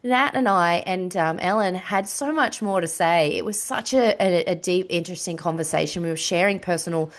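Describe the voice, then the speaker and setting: A woman co-hosting a podcast in casual chat, husky from a cold, chatty with frequent laughs and fillers. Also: on the low side, normal speed, hardly any pauses. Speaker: podcast co-host.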